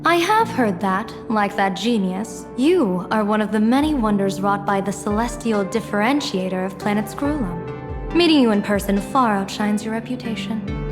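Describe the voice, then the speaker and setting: A woman's voice: medium pitch, expressive, normal speed, lightly acted. A woman playing a playful, self-assured general and fortune-teller in a video game, speaking in a ceremonious, flowery manner. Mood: playful, flattering. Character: grandiose, playful female general and fortune-telling strategist. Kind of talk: scripted game voice acting. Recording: long reverb, background music.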